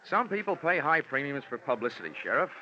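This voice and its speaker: An actor playing a wisecracking insurance investigator who narrates dryly in a 1950s radio drama. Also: male voice, fairly high pitch, big pitch swings, quick pace, bright, forceful tone, slight background noise. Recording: dull old radio recording with music.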